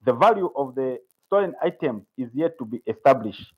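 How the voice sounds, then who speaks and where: A man's voice: mid-range voice, expressive, moderate pace, slightly rough. A man with a Zambian accent reading out a formal prepared statement as a police spokesman. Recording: dull lo-fi voice clip.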